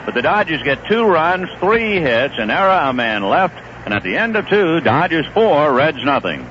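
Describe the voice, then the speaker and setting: A man calling baseball play-by-play on the radio in a conversational, lively manner. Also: on the high side, normal speed. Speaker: play-by-play announcer. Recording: dull 1970s radio broadcast with hiss.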